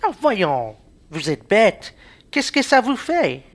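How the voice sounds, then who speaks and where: A woman playing an amorous, pushy gentleman in an amateur radio play, wheedling and insistent. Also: medium pitch, very animated, takes it slowly, full character voice.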